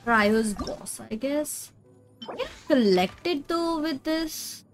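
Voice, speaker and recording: Indian girl streaming a game, chatting away in mixed hindi and english, high voice, soft music behind. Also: expressive, slow pace. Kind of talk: casual livestream chat while gaming.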